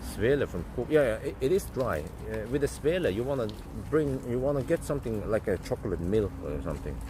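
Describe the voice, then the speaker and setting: A man, rambling through casual live-stream chat as host and thinking aloud with fillers and restarts. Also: brisk. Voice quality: deep voice. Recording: steady vehicle and street noise.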